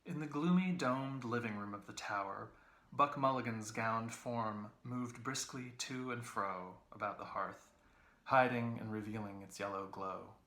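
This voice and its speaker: Bright, creaky man narrating a novel. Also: medium pitch, unhurried, echoey room. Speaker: reader, English professor. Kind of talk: literary audiobook narration.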